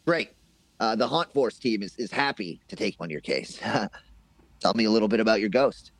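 A man's voice: on the low side, lively intonation, moderate pace, no room sound. A man playing an eager, scattered amateur ghost-hunter boss in a naturalistic comedic audio drama, hesitant and repeating himself. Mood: flustered, salesy. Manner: hesitant, rambling, repetitive.

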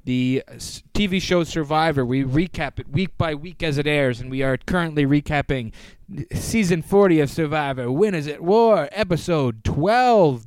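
A man's voice: on the high side, clear, resonant tone, no room sound. A man hosting a podcast, chatting casually and rambling with frequent self-corrections. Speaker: podcast host. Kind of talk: casual chat.

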